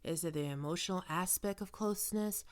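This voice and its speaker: A woman playing a probing, smoothly manipulative psychiatrist in an ASMR roleplay, speaking in measured, deliberate phrases. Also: fairly low pitch, lightly acted. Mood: clinical, probing. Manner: measured clinical questioning with deliberate pauses. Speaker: voice actor performing a roleplay.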